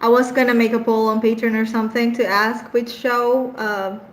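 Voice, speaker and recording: A woman's voice: fairly high pitch, flat delivery, moderate pace, heavy background noise. Venezuelan woman chatting on a live stream. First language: Spanish. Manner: chatty, laughs often, trails off softly. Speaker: streamer answering live chat. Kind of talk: casual live-stream chat.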